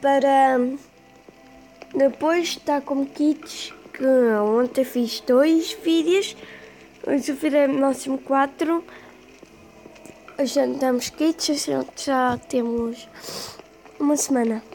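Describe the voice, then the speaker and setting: Brief: hoarse Portuguese woman gamer, high pitch, slow and hesitant, faint music behind. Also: fairly even intonation, a little mellow. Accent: European Portuguese. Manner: rambling, hesitant. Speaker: gaming youtuber. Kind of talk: casual let's play commentary. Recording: voice over game music.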